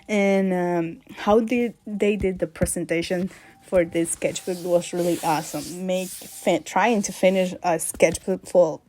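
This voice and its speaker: Woman w an accent, slow and mellow, lots of uhs, chatty art vlog vibe. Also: on the low side, steady tone. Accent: non-native English accent. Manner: hesitant, many fillers, soft laughs. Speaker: art vlogger. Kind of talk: casual sketchbook vlog chat.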